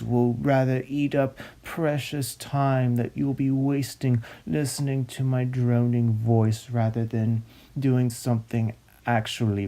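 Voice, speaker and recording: Slow, low-pitched pompous female narrator. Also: mellow. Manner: snobby, pompous, droning. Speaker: narrator, host of a dramatic reading series. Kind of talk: scripted mock-solemn narration and script reading.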